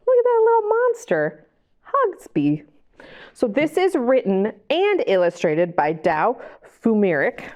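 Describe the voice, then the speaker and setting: A woman hosting storytime, reading a picture book to young children in an animated way, with chatty questions and asides to the listeners. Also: medium pitch. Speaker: library storytime host and reader.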